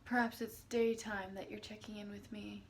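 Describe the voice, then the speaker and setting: A woman speaking as a spiritual healer and meditation guide, addressing the listener in a halting way with long pauses and drawn-out words. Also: mid-range voice, level tone. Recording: noticeable background noise.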